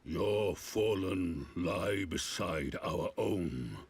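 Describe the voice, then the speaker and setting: An actor playing a proud, grave beastman warrior chief in a video game, speaking formally with a gravelly growl. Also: deep voice, animated, full character voice. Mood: solemn. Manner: slow, stately, formal declamation.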